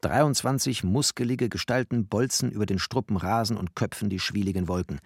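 A man's voice: fairly low pitch, brisk, husky, no echo, studio quality. An actor playing a scornful bookish man in a radio drama, declaiming a sardonic literary monologue. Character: scornful bookish man mocking popular culture.

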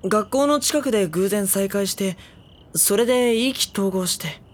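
An actress playing a mild-mannered, introspective teenage schoolboy in an audio drama, speaking politely and with understatement. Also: female voice, medium pitch, unhurried, natural voice. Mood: light, polite. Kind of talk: Japanese audio drama acting with inner monologue.

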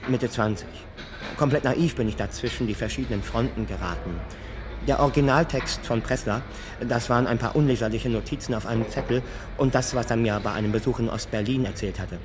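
An actor playing a former radio director looking back candidly and self-deprecatingly in a mock-documentary interview. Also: male voice, mid-range voice, close to an everyday voice.

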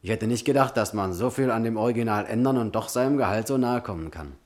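An actor playing a young, struggling Hollywood screenwriter in a German radio drama, wry, charming and flattering. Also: male voice, lowish voice, fast, natural voice. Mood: ingratiating, wry.

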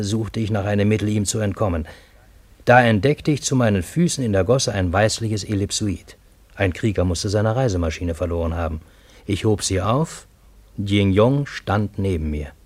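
An actor narrating a radio drama as a troubled Parisian man, with a husky voice and measured, literary, dramatic delivery. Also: fairly low pitch, a little mellow, no echo, close to an everyday voice. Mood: troubled, tense. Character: troubled Parisian man recounting his fantastic time-loop adventure.

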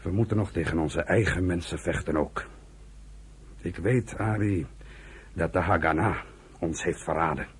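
Very husky old underground leader. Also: male voice, sits low, normal speed, long pauses. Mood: bitter. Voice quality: husky, rough. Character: embittered, fervent old uncle leading an outlawed underground militia. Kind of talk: Dutch radio play acting.

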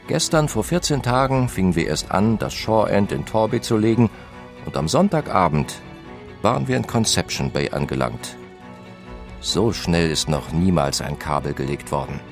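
Deep gravelly period engineer, animated letter reading. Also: male voice, faint music behind. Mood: earnest. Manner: brisk and expressive, formal old-fashioned phrasing. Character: nineteenth-century engineer brother writing home at sea. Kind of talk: reading a historical letter aloud.

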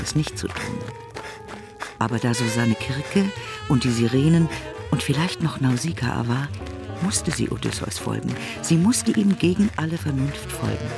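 A man narrating a literary radio play in measured, short declarative sentences. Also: medium pitch, vocal fry. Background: music.

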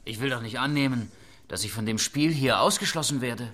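Male actor as young hoodlum, forceful sharp voice, medium pitch, cocky, irritable, brash, radio crime drama. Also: fairly quick, lightly acted. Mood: cocky challenge. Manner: aggressive, brash. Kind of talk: hard-boiled radio crime drama acting.

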